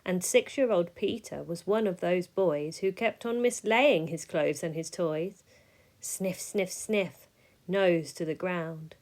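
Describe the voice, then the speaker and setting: A woman reading a rhyming children's story aloud in an expressive, measured way, sometimes dropping soft. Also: fairly low pitch. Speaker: storybook reader.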